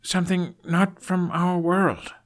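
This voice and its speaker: A man's voice: high-pitched, level tone, slow pace, voice pushed up. A man voicing an anxious, earnest young prince who speaks in an urgent, hesitant, pleading way in a story reading. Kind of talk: dramatized fan fiction reading.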